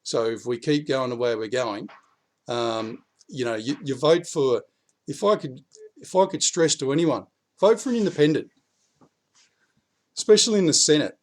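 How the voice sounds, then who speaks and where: A man with an Australian accent, a little croaky after the flu, talking bluntly and casually to camera from his backyard about politics. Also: medium pitch, normal speed, pauses often. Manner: blunt, swears, rising heat when urging. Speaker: prepping vlogger.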